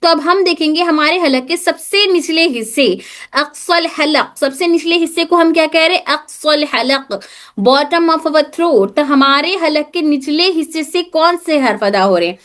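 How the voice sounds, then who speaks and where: A woman with a high-pitched voice teaches a live religious recitation lesson. She explains step by step, asking questions and repeating the answers to drill them in. English words are mixed into her explanations. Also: a little slow, fairly bright tone. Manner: repetitive, drilling key points with questions; mixes English words into Hindi speech.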